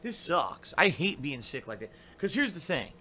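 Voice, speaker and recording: A man's voice: fairly high pitch, big pitch swings, normal speed, pushed and bright. A middle-aged man streaming games live, rambling and complaining in a casual monologue full of fillers. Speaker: game streamer.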